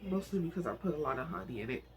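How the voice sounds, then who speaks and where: A man reading a novel aloud in an informal, emotional, soft storytelling manner, now and then speaking in asides. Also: on the high side, expressive, very fast, slight background noise. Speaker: amateur book reader and channel host.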